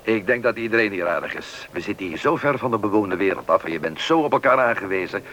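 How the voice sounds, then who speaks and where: A man's voice: fairly low pitch, animated, fast, pushed and bright. An actor playing a good-natured, easygoing father who has just arrived at a remote outpost, in a Dutch radio play. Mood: upbeat. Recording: old radio play, faint background noise.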